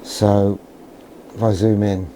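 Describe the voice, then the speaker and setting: A man's voice: lowish voice, little pitch movement, slow, mellow, slight background noise, rough recording. A man thinking aloud in an understated, musing way as he gives a casual hands-on electronics demonstration, often putting questions to himself. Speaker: electronics hobbyist presenter.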